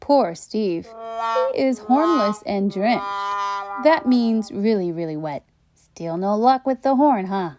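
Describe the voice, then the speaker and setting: Woman reading a children's story, very animated pitch. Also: slow pace, over loud music. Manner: expressive, playful asides to young listeners. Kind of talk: animated storybook read-aloud.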